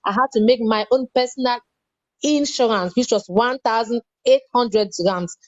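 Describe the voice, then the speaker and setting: A woman's voice: a little high, normal speed, no room sound. A woman giving earnest, emphatic advice in a casual chat. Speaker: guest. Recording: dry, dull lo-fi sound.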